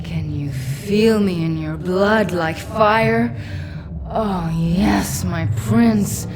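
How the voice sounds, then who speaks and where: A woman's voice: on the low side, extremely slow, music in the background. A woman acting a seductive, sinister demon guide in a video game, with sultry, teasing delivery. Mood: entranced, seductive.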